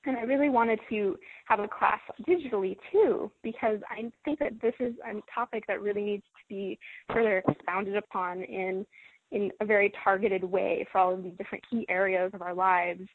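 A woman hosting a self-help conference call, talking in a gentle, encouraging, measured way. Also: mid-range voice, steady tone, husky, no room sound. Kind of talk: coaching talk. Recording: phone line, dry and lo-fi.

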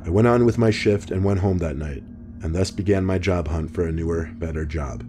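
A man reading first-person scary stories in a calm, measured scripted narration. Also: sits low. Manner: calm, measured storytelling delivery. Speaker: horror story narrator. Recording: music bed underneath.

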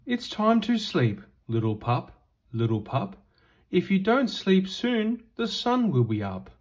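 A man reading a children's bedtime picture book aloud in a gentle, singsong rhythm. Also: high-pitched, big pitch swings, takes it slowly.

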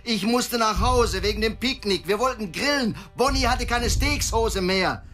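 An actor playing a beaten-down death-row prisoner in a German radio play, hesitant and subdued, often repeating himself. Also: male voice, high voice, unhurried, a bit bright. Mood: anxious, defensive. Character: hesitant, beaten-down man condemned to death, protesting innocence.

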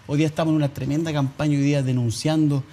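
A man's voice: a little high, flat delivery, racing, mellow, a little hiss or hum. A man with a Chilean accent, an evangelical pastor speaking insistently and defiantly as a guest in a TV studio.

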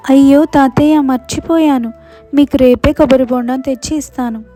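A woman as a high-voiced innocent little girl. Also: steady tone, normal speed, warm tone, soft background music, lightly acted. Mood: innocent, polite. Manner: childlike, eager, polite. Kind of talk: moral story cartoon dialogue.

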